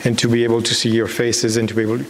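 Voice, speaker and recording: A man in his thirties from Belgium, a documentary filmmaker, giving a halting, unscripted answer on stage with repeated false starts. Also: mid-range voice, monotone. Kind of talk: unscripted answer at a public talk. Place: on stage in a large dark hall with an audience. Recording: echoey hall with clear background hiss.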